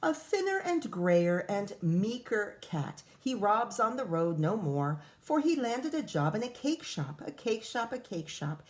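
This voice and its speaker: A woman with a mid-pitched voice reads a story aloud like a classroom teacher. She tells the rhymes expressively and steps out of them for casual asides, explaining things simply for children. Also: very animated, unhurried, few pauses. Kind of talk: picture-book read-aloud with casual asides.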